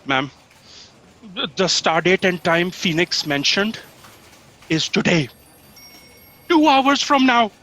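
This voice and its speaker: Brief: prim, stammering male office aide played by an actor, high voice, anxious and correct, sci-fi audio comedy. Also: big pitch swings, slow, long pauses, bright, forceful tone, heavily acted. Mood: nervous. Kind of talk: sci-fi satire audio drama acting.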